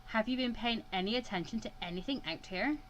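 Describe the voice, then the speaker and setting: A woman's voice: a little high, fairly quick, lo-fi sound, close to an everyday voice. Woman acting out a stern, impatient male mentor. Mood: stern scolding. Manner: scolding, clipped, serious. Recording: clear background noise.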